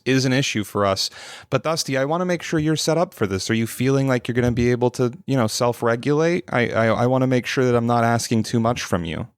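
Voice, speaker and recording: A man's voice: medium pitch, smooth, no echo, clean studio sound, close to an everyday voice. A man playing a hungover, troubled male getaway driver in a tabletop game, talking casually and supportively with some rambling.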